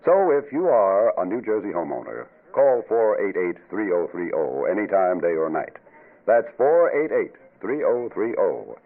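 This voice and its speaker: A man's voice: lowish voice, big pitch swings, moderate pace. A man reading a scripted radio advertisement as an announcer, persuasive and emphatic. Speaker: commercial announcer. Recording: tinny band-limited radio recording.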